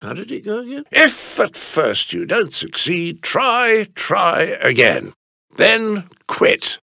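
An actor playing an elderly, prim English butler in a video game, with a raspy, creaky British voice and a formal, fussy, drily understated manner. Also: male voice, high voice, wildly swooping pitch, slow pace, pushed and bright, no echo, studio quality, heavily acted. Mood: dry, musing. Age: older. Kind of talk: scripted video game character lines.

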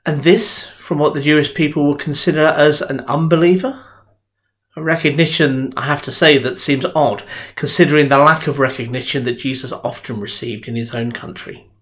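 A man's voice: a little high, steady tone, normal speed, a bit bright. A middle-aged man preaching a sermon from a small home study, recorded on a desk webcam. His delivery is conversational and earnest, like telling a story to friends. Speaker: minister. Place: home study with bookshelves, indoors.

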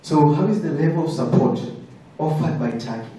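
A man's voice: a little high, lively intonation, normal speed, dark tone. A man in his twenties with a Kenyan accent, a student politely and hesitantly putting a formal question from the floor. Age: 20s. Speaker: student audience member asking a question. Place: large crowded indoor lecture hall. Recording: very echoey hall, distant, faint noise.

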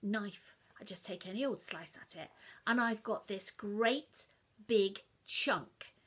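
A middle-aged woman reading a story aloud to children, dramatic and expressive, dropping into hushed whispers, filmed on her sofa in a living room. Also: medium pitch, slow pace, husky.

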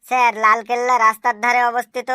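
A woman voicing a bright, cheeky cartoon schoolchild. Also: on the high side, quick pace, clean tone, no echo, clean studio sound. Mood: cheeky, deadpan. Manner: deadpan, literal-minded, politely impudent answers. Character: cheeky schoolchild, earnest-sounding classroom smart aleck. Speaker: cartoon voice actor. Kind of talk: comic cartoon dialogue.

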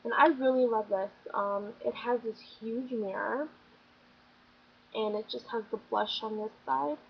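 A woman's voice: medium pitch, creaky. A young woman chatting casually about her favorite beauty products, gushing and filling with "like" and "um", her voice a little scratchy from getting sick. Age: young adult. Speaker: beauty vlogger. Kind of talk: casual product review chat. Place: small bedroom. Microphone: built-in webcam mic. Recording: dull lo-fi webcam audio with faint room noise.

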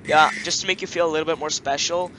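A man's voice: fairly high pitch, steady tone, fast, crowd noise. A young man from Romania hosting a gaming video with casual, chatty commentary. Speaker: gaming channel host.

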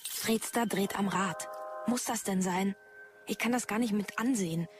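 A woman's voice: mid-range voice, normal speed, lightly acted. A woman playing a blunt, colloquial character in a sci-fi audio drama. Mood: troubled, sceptical. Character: blunt, irritated woman with a guilty conscience. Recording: loud music behind the voice.

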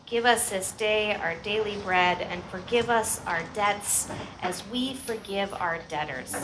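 A woman's voice: medium pitch, unhurried. Informal woman pastor, noisy outdoor service. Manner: informal, conversational. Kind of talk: leading an outdoor worship service. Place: outdoor church courtyard. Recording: outdoor PA with clear background noise.

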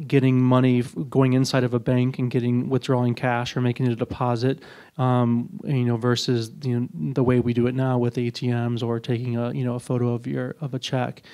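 A man's voice: medium pitch, completely flat delivery, a little fast, warm tone. A man speaking conversationally as a panelist, with thoughtful, tentative phrasing, into a handheld microphone. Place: indoor auditorium panel before an audience.

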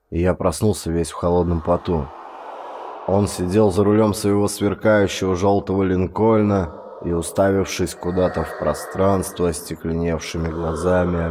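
Deep-voiced man reading a story aloud. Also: darkish tone, slight background noise. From Russia. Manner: dramatic, lifts his voice for quoted speech. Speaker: audiobook narrator. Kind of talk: expressive audiobook reading of a horror story.

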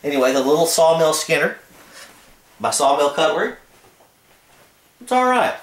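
A man's voice: on the high side, sing-song, moderate pace, a bit bright, roomy sound. A man hosting his own channel, casually reviewing a cheap knife with a laid-back, rambling manner and blunt opinions. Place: indoors, camera filming a carpeted floor.

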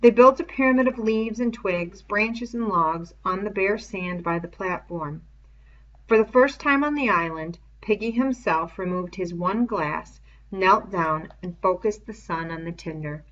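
A woman's voice: medium pitch, measured pace, fairly bright tone. A woman reading a novel aloud as scripted narration, on a dull lo-fi recording. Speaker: audiobook reader.

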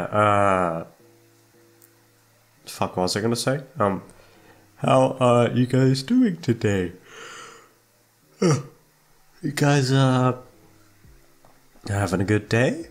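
Young dude just chatting to listeners, rambly, swears a lot, puts himself down. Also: male voice, mid-range voice, animated, very slow, pauses often, soft background music. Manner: rambling, sweary, self-deprecating, giggly. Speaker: audio roleplay voice actor. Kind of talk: casual unscripted chat to listeners.

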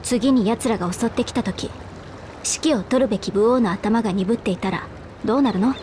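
A caring, composed heroine of a fantasy game , acted by a woman, has a fairly high voice. She speaks in feminine phrasing, firm and gentle, as dramatic game acting. There is faint ambience behind her. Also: fairly quick, slight background noise, lightly acted.

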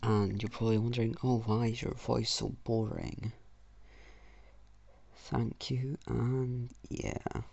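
A teenage boy rambling self-mockingly through a low-key channel intro in a flat, gravelly voice. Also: slow pace, no echo, muffled recording. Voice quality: gravelly, husky, flat-sounding voice. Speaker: YouTuber. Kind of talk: casual channel intro voice-over.

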